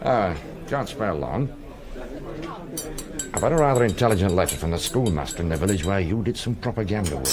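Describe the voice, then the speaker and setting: An actor playing a blunt, businesslike union secretary in a period radio drama, with a challenging manner. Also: male voice, low-pitched, lively intonation, a crowd in the background. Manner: blunt, brisk.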